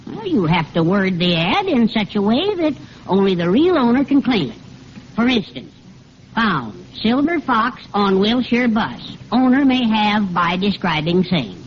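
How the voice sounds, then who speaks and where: A meek little American neighbor from a vintage radio comedy. He sounds mournful and prim, measuring out his words dolefully. The recording is old and dull, with clear noise. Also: high-pitched, very animated, a little slow. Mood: prim warning. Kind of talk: 1940s radio comedy acting.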